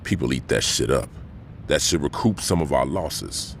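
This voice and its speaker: A cold gang boss in a game cutscene, speaking in a very deep, measured, authoritative voice. Low engine rumble runs under the game audio. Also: male voice, lively intonation, creaky, full character voice. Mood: commanding.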